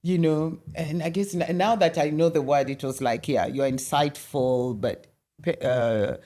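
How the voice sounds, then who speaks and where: A middle-aged woman from Kenya, a guest in a conversational interview, telling animated stories with many restarts. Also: deep voice, a little slow.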